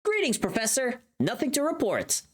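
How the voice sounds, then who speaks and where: A chipper video game gatekeeper guard, acted out by a woman, pitched high with a bouncy swing. The greeting is dutiful and upbeat, delivered like a routine report. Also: huge pitch swings, moderate pace, husky, heavily acted. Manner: bright salute-like greeting, formulaic reporting.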